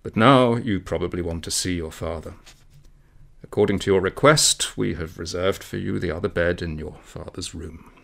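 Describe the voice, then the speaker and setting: A man voicing a patient, secretive, faintly impatient doctor in a literary story, speaking in a hushed, deliberate, instructing way. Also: low-pitched, gravelly. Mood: tolerant impatience.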